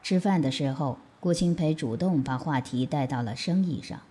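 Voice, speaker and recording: A woman's voice: low voice, moderate pace, mellow, husky. A woman reading scripted narration for an audio drama.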